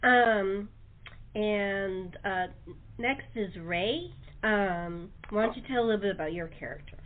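A woman's voice: medium pitch, a little slow, slight background noise. A woman talk show host chatting casually and enthusiastically with her guests. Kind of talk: casual interview chat. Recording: tinny, narrow phone-line sound.

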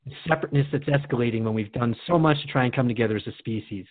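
A man's voice: medium pitch, racing, no room sound. A man hosting a radio show in a conversational, earnest and reflective way. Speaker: radio host. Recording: dry, phone-like narrow band.

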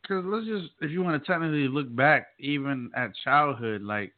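A man on a talk show panel, giving his opinions in casual, conversational speech. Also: moderate pace. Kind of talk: casual discussion. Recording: muffled low-bandwidth call audio.

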